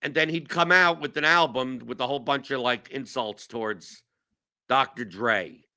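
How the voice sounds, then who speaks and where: 40s guy from new york hosting a chat show, rambly, keeps restarting, really bright tense voice. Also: medium pitch, normal speed. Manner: rambling, self-mocking, lots of fillers and restarts. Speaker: show host. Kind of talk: casual chat.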